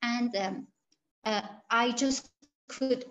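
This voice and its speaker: Woman researcher with a fairly high voice. Gilaki speaker, careful and halting, on lo-fi video-call audio. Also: a bit bright. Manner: halting, searching for words, many restarts. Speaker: interviewed researcher. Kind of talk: interview answers.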